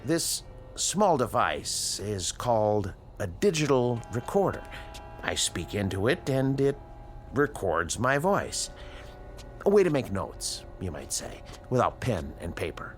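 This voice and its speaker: Radio drama scientist: educated male, formal and precise, very wide pitch swings, unhurried delivery. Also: very animated, faint music behind. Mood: explanatory. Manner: precise, formal, measured diction with dramatic pauses. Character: educated, uneasy male scientist, inventor of a time machine. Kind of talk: radio drama acting, dialogue and dictated log narration.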